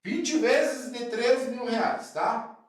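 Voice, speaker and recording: A man's voice: very high-pitched, very slow. A man from southern Brazil with a cold, explaining real estate deals to fellow brokers, chatty and often checking in with his listeners. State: has a cold. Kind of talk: explanatory talk to camera. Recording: very echoey room.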